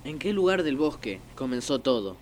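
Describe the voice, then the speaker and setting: A man's voice: medium pitch, very animated, normal speed, slight background noise, natural voice. A man playing an earnest, concerned young hero on a quest, in a radio drama. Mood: curious. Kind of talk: Spanish-language radio drama acting. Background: faint music, rain.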